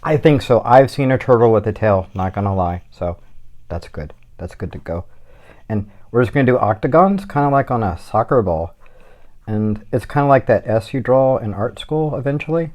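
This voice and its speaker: A man's voice: medium pitch, expressive, moderate pace, a little mellow, lo-fi sound. A man giving a casual drawing tutorial as a guest artist, rambling with jokey asides as he works.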